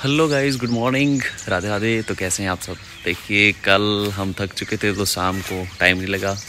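Low-voiced guy vlogging at a lakeside camp on his phone, loud outdoor noise behind him. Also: steady tone, moderate pace. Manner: chatty, showing viewers around. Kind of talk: casual vlog narration. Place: outdoors at a lake water-sports camp.

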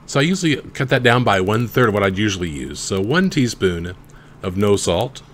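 Lively-voiced man explaining a recipe. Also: fairly low pitch, fairly quick, a little hiss or hum. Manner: explains measurements step by step. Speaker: cooking show host. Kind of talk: recipe instruction voice-over.

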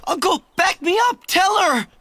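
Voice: very high voice, expressive, normal speed, pushed and bright, exaggerated voice. Someone voicing an earnest young lion hero. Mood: pleading. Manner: earnest, urgent, pleading.

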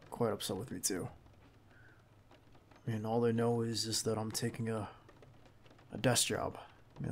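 A man playing a protective, reassuring bodyguard in an intimate ASMR roleplay, speaking in a hushed, halting, gravelly voice close to the listener. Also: lowish voice, slight background noise. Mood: confiding. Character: protective young male bodyguard, calm and reassuring.

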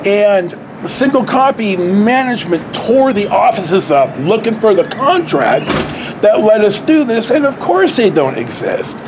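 A middle-aged American man casually telling a story to camera in a halting, rambling way, recorded outdoors on a handheld device. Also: sits high, very animated, noisy background. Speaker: storyteller, vlogger. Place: outdoors beside a stucco house wall. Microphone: handheld camera or phone at arm's length.

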